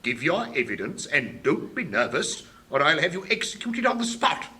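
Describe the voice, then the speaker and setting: A man's voice: fairly high pitch, sing-song, moderate pace, pushed and bright. An actor playing a blustering, muddled old-fashioned British king who acts as judge, in a 1950s audio storybook. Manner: blustering, hesitant, officious.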